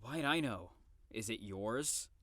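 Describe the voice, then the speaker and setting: A worn-out stubborn young patient, flippant, slow drawl, pitch all over, dry close sound. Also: lively intonation, a bit bright, no echo. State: very tired, sighing. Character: sarcastic, stubborn, exhausted young psychiatric patient, rambunctious and flippant. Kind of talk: audio drama acting.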